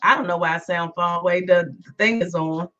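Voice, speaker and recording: A woman's voice: on the low side, fairly quick, bright, forceful tone. A woman hosting her own podcast live, chatting casually and repeating herself, her voice still weak from being sick, greeting listeners by name. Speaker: podcast host. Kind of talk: casual live-stream chat. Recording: dull lo-fi sound, a bit far.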